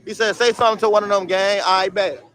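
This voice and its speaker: A young man with a high, bright, ringing voice talks loosely to his stream chat, full of slang and swearing. He reads viewer comments aloud and laughs them off while out on a city street, talking into a phone held at arm's length. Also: fairly even intonation, fast. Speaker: livestreamer. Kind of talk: casual livestream chat reading viewer comments.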